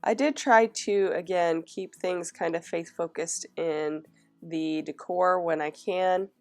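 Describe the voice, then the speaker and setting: A young woman talks through her planner pages in a relaxed, chatty way, pausing on fillers and starting her sentences over. Her voice sits very low and is rough and scratchy from a cold. Faint guitar music plays softly behind her. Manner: chatty, with frequent fillers and restarts. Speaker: planner vlogger. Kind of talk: casual planner flip-through narration.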